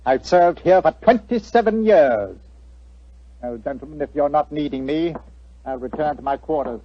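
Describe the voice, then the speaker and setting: An actor playing a sinister, guarded old butler with a stiff, formal manner, in 1940s radio style. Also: male voice, on the high side, lively intonation, moderate pace, no echo. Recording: dull vintage radio recording.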